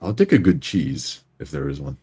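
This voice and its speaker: A man's voice: low-pitched, wildly swooping pitch, moderate pace, dark tone, slightly rough. A man playing a tabletop roleplaying game, describing his character's actions and speaking in character.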